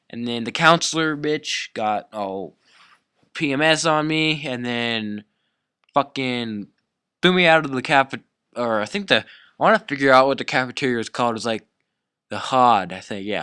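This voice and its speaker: Clear-voiced young man rambling through a story. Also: medium pitch, a little slow, bright, forceful tone, no echo, clean studio sound. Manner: profane, slangy, rambling. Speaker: YouTube storyteller. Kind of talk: casual storytelling.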